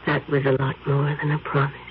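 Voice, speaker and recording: A woman's voice: sits low, monotone, brisk, slight background noise, close to an everyday voice. An actress playing a seductive, scheming witch in a 1970s radio drama, purring and teasing with menace. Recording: dull 1970s radio broadcast.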